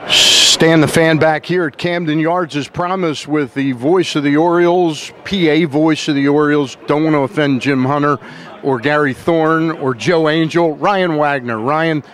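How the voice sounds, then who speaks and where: A man's voice: on the high side, steady tone, normal speed, a bit bright. A man hosting a sports show, interviewing a guest on location at a ballpark. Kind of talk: sports interview and sponsor reads.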